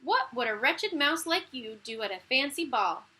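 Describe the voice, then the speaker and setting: A woman voicing a vain, horrid young stepsister from a storybook, mocking and teasing in a shrill, exclaiming way. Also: expressive, normal speed, bright, forceful tone, husky, exaggerated voice.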